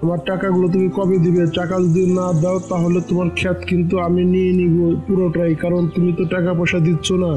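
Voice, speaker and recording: Man as a stern cartoon bird moneylender, high voice, fast, demanding, greedy, music behind. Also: completely flat delivery, dark tone, natural voice. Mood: demanding, threatening. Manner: demanding, threatening. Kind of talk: cartoon character dialogue.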